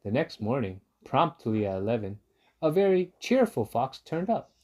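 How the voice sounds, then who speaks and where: A man's voice: mid-range voice, big pitch swings, normal speed, darkish tone. A man narrating a children's story from a book, as a read-aloud. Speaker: storybook reader.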